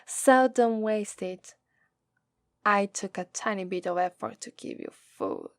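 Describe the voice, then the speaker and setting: A woman's voice: medium pitch, sing-song, vocal fry. A woman with an Italian accent voicing a haughty, menacing female demon who taunts and condescends in an ASMR roleplay. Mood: taunting, mock-sweet. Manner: condescending, drawn-out, taunting delivery.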